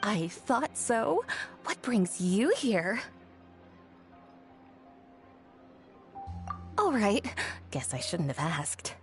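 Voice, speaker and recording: A woman voicing a friendly, businesslike shopkeeper in a video game, with an expressive delivery. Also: medium pitch, takes it slowly. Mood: surprised. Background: background music.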